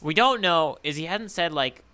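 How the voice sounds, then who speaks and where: A man's voice: a little high, expressive, normal speed, pushed and bright, clean tone. A man co-hosting a podcast in casual chat, thinking aloud as he goes. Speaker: podcast co-host. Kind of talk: casual chat, manga readalong discussion.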